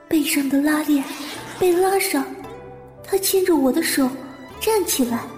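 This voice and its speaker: A woman's voice: sits high, a little mellow, echoey room. A woman playing a timid, haunted young bride, hesitant and introspective, narrating an audio drama. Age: young adult. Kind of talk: Mandarin audio drama acting with first-person narration.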